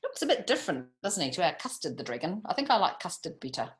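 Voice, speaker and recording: A woman reading picture books aloud to young children in an expressive way, with chatty asides and little tag questions. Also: on the low side, fast, breathy edge. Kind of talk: animated picture-book read-aloud with asides to young listeners.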